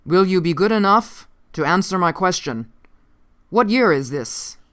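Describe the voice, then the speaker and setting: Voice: mid-range voice, lively intonation, a bit bright, voice pushed up. A narrator putting on an uncanny, intense time-traveling scientist. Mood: insistent, formal. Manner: slow, emphatic, formal. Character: uncanny gaunt male time-traveling scientist, unnerved, vengeful, commanding.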